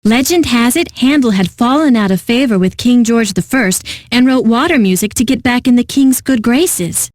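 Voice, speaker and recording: An actress playing a refined, eccentric classical radio host in a video game, speaking in a pompous, theatrical way that savors each phrase. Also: female voice, a little high, lively intonation, normal speed, hardly any pauses, darkish tone, no room sound, clean studio sound. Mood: poised, refined.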